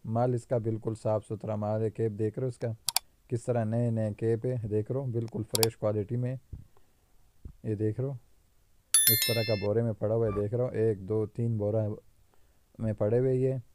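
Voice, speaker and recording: Flat-voiced Karachi man selling caps. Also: fairly low pitch, moderate pace, noisy background. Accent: Karachi Urdu. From Pakistan. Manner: repetitive, insistent salesman patter. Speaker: market trader showing stock. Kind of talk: casual sales walkthrough. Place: warehouse among sacks of goods. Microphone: handheld phone camera, speaker off screen.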